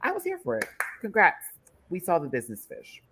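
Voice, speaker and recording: A man in his thirties hosting a panel show, chatting in a campy way with quick asides about runway looks. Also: high-pitched, wildly swooping pitch, bright, forceful tone, slightly rough. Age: 30s. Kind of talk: casual panel chat reviewing runway looks.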